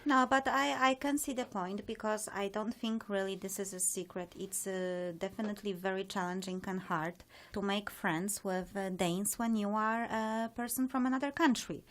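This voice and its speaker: Woman cohost, jumps in quick, teasing and chatty. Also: medium pitch, fairly bright tone, slight background noise. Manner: quick interjections, playful teasing. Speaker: co-host. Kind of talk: casual live show chat.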